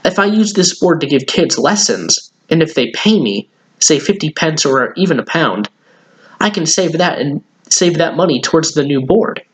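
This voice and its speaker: A man voicing an excited, eager ten-year-old boy in a children's storybook. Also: fairly high pitch, moderate pace, husky. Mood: explaining.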